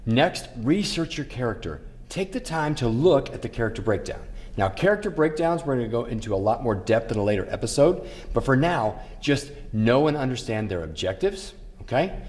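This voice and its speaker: A man's voice: mid-range voice, very animated, moderate pace, a little mellow. A man presenting acting tips in scripted narration with casual asides.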